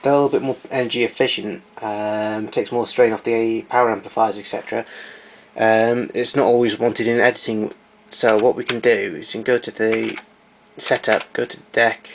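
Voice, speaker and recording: A man's voice: lowish voice, flat delivery, moderate pace, vocal fry, a little hiss or hum, poor audio. A man giving an informal, hands-on equipment demonstration, explaining technical details as he goes.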